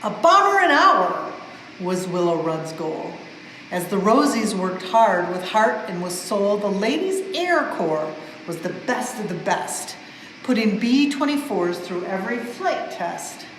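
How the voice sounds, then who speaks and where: A woman reading a rhyming storybook aloud in an expressive, measured way, as for a museum storytime. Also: mid-range voice. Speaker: museum staff member reading a children's book aloud. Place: indoors in a museum exhibit space. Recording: very echoey reverberant hall, faint background noise.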